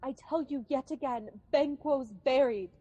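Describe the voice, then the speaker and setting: A haunted sleepwalking noblewoman in a Shakespearean radio play. Her voice is high and very slow. She mutters in broken fragments, then breaks into imperious, guilt-racked outbursts. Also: dark tone, muffled recording. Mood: haunted, urgent. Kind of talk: Shakespearean radio drama acting.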